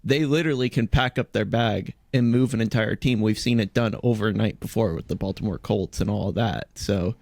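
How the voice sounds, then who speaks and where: A man's voice: fairly low pitch, moderate pace, gravelly, hardly any pauses, darkish tone, no echo. A man chatting casually as a contributor on a podcast. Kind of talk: casual chat.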